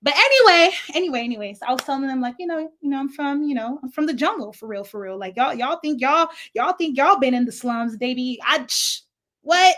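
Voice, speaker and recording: A woman's voice: sits high, a little fast. A young woman with a faint Nigerian accent telling a chatty, rambling storytime vlog full of asides and swearing. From San Francisco Bay Area, US. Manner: chatty, animated, digressive, profane. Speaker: vlogger.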